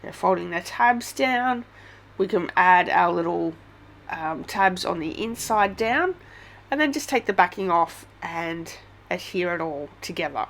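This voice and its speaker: A woman's voice: on the low side, lively intonation, unhurried, fairly bright tone. An Australian woman with a head cold, chatting through a casual step-by-step craft tutorial with many ums.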